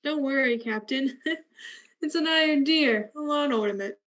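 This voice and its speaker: A woman acting a calm, wryly curious expedition scientist in a radio play, measured and dry. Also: sits high, dull audio. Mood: amused.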